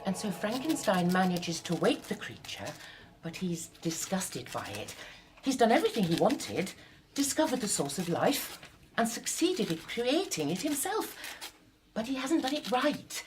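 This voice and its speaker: A woman playing a passionate, excitable young writer in a period radio drama, earnest and emphatic, performing on a live stage. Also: mid-range voice, unhurried, background noise. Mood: intense, earnest.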